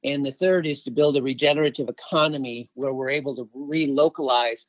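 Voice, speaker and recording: A man's voice: mid-range voice, brisk, dry recording. A middle-aged man from Alaska giving conversational answers as an interview guest and environmental activist. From Alaska, United States. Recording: slightly dull, dry lo-fi audio.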